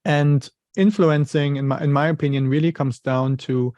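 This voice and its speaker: A man's voice: on the high side, fairly even intonation, normal speed, darkish tone, no room sound. A man in his thirties from Germany with a German accent, talking as an interview guest in a thoughtful, explanatory way with many "you know" fillers. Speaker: interview guest, finance leader. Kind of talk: conversational interview.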